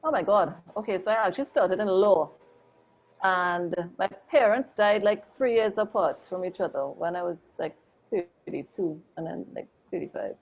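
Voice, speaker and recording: A woman, a photographer and interview guest, telling her story in a chatty, animated way with lots of "like" and "oh my God". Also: fairly low pitch, normal speed, poor audio.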